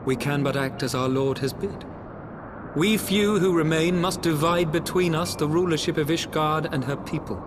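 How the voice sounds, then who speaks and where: High-voiced, earnest knight counsellor played by a man. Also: moderate pace, background noise, natural voice. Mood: resigned. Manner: formal, earnest. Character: dutiful, compassionate knight counselling his lord. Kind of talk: archaic fantasy game cutscene acting.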